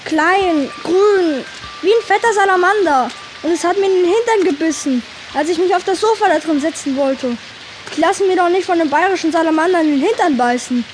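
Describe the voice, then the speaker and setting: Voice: extremely high pitch, a little fast, a little hiss or hum. A performer playing an arrogant, whiny rich schoolboy in a children's audio drama, snooty and outraged.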